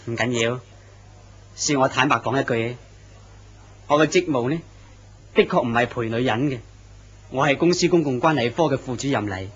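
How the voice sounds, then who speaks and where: An earnest, courteous office man, voiced by a man in an old-style horror radio play. His voice is mid-pitched and plain. The recording sounds dull and lo-fi. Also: moderate pace, long pauses, a bit bright, lightly acted. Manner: courteous and earnest, turns startled when threatened. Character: polite, earnest office man, a deputy manager. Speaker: actor in a horror radio drama. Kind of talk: radio drama acting.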